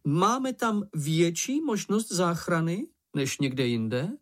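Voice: mid-range voice, lively intonation, pitched above the performer's own voice, close to an everyday voice. An adult acting a fussy, exasperated little creature. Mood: fussy, officious. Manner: pedantic, exasperated. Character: earnest, fussy young male creature fond of meetings.

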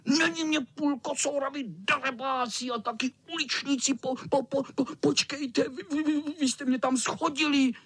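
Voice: high-pitched, steady tone, hardly any pauses, a bit bright, no echo, pitched above the performer's own voice. A storyteller voicing a spiteful, mischievous old male cat who stammers, cackles and scolds in a wheezy squeal. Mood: indignant. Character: spiteful, mischievous old tomcat, blustering and whiny.

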